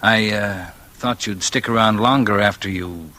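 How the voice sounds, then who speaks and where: An actor playing a smug, cold-blooded gangster boss with mockingly casual menace, in 1940s radio noir style. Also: male voice, on the low side, measured pace, bright, forceful tone. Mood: smug, menacing.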